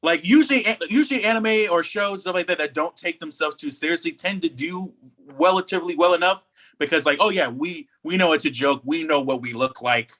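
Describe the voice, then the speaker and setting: A man's voice: fairly high pitch, brisk, fairly bright tone. A man co-hosting a podcast in casual chat, rambling and opinionated, often repeating his phrases. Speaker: podcast co-host.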